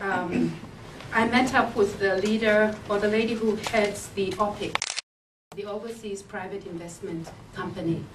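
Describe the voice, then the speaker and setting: A woman from Zambia pitching her business plan, hesitating often with ums and uhs. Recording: echoey room, mic far off, faint hiss.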